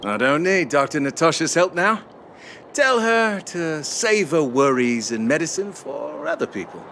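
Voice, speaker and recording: A man's voice: on the high side, sing-song, unhurried, a bit bright, slight background noise, full character voice. A man voicing a world-worn, cynical miner in a video game, blunt and guarded, with music underneath. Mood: dismissive.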